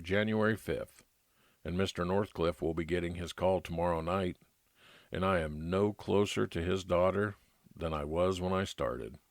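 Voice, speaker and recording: Low-voiced private eye, acted by a man. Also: no room sound. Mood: puzzled, brooding. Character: world-weary, wry male private investigator narrating his case. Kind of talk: old-time radio noir narration and dialogue.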